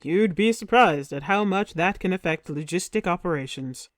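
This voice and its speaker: Reader doing a stiff young hero in disguise, firm, loud, storybook, dry sound. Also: medium pitch, expressive, normal speed, dry recording, natural voice. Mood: explaining. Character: young male hero posing as a king, awkward. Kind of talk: storybook reading.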